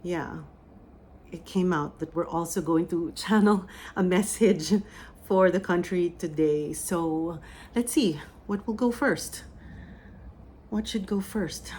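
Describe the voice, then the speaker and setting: Slow, soft-spoken Filipino woman. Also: on the low side, a little hiss or hum. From Philippines. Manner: slow, soft, meditative delivery with pauses. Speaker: psychic medium. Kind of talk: oracle reading and channeled message.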